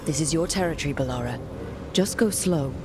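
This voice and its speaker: A woman's voice: low voice, a little fast, background noise, close to an everyday voice. An actress playing a decisive female hero who leads her team with direct commands in a video game cutscene. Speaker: team leader. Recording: game audio with music.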